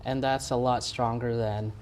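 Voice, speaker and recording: Young male guest, earnest and halting, everyday mid-range voice. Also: medium pitch, little pitch movement, a little mellow, slight background noise. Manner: earnest, halting with fillers and restarts. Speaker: community organization guest. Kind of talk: casual interview chat.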